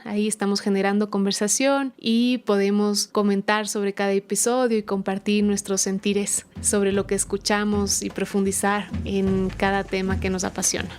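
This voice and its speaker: Calm young woman podcast host. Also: medium pitch, clean tone. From Ecuador. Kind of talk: scripted podcast intro.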